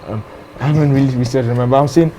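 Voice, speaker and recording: A man's voice: medium pitch, fairly even intonation, very fast, warm tone, a little hiss or hum. A man hosting an interview, asking questions with hesitations and restarts. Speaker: host.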